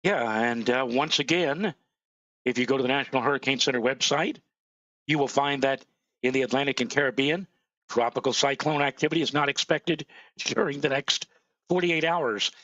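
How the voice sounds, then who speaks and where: Hoarse man co-hosting a weather show. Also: medium pitch, moderate pace, fairly bright tone, dry recording, highs cut off. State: losing his voice from a cold. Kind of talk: casual live weather talk.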